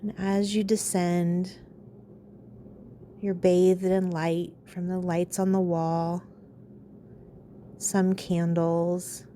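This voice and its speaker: A woman leading a guided meditation in a calm, soothing, unhurried way, pausing between phrases. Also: lowish voice, a little hiss or hum.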